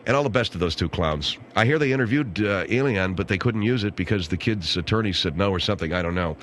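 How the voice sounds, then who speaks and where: A man's voice: on the low side, quick pace, fairly bright tone, a little hiss or hum. An American man hosting casual, rambling talk radio with a wry, grumbling, sardonic manner. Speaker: radio talk show host. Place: radio studio. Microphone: close studio microphone.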